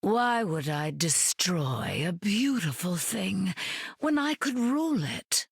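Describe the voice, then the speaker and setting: Villainous game goddess: slow, husky, sweeping intonation, grand and condescending. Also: female voice, mid-range voice, very animated, no room sound, studio quality, heavily acted. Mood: haughty menace. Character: imperious, silky goddess queen of the dead. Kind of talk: video game voice acting.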